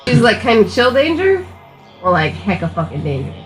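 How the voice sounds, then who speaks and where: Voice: medium pitch, wildly swooping pitch, normal speed, faint music behind. A player in a tabletop role-playing game, chatting casually in a slangy, jokey way.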